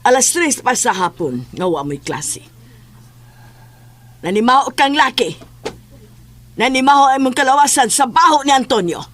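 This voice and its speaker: A woman's voice: sits high, very animated, normal speed, long pauses. An actress playing a fierce, suspicious mother with mystic powers, scolding and accusing in a radio drama. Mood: angry, accusing.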